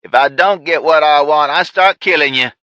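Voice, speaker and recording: An unhinged crime boss shrieks and gloats, his voice pitched far up and thin. The sound is dry and a little dull, like a home audiobook recording. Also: normal speed, no echo. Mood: unhinged shouting. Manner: shrill, shouting, gloating.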